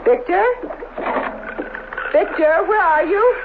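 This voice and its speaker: An actress playing a scientist's devoted, anxious wife in a radio drama, with a tender, theatrical delivery. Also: female voice, very high-pitched, slow pace. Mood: alarmed, calling. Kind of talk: 1970s radio drama acting. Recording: muffled, hissy old radio broadcast.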